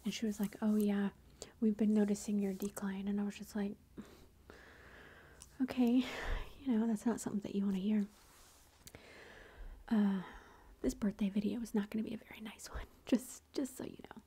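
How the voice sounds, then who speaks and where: A woman's voice: medium pitch, little pitch movement, moderate pace, long pauses. A woman whispering through a long personal story, with many fillers and quoted lines of dialogue. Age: adult with a son. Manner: whispering, soft and breathy. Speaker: vlogger.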